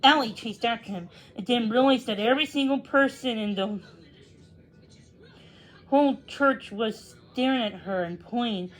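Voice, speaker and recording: Woman reading a storybook aloud, higher-pitched voice. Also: moderate pace, low-quality recording. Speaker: read-along storyteller.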